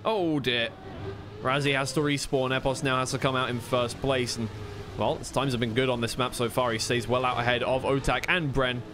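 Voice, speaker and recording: A man's voice: mid-range voice, fairly even intonation, moderate pace, noisy background. A man giving live play-by-play commentary as an esports caster on a racing game match.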